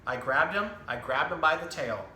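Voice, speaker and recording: Bright-voiced man in an echoey room. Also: a little high, animated, normal speed. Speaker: zookeeper and storytime host. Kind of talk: casual animal education talk and children's picture book reading. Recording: roomy echo with some background noise.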